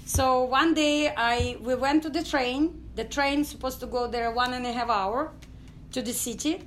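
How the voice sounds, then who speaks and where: High-voiced Ukrainian woman telling her story. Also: a little hiss or hum. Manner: halting non-native English with many fillers. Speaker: guest, dance company founder. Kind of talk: storytelling interview.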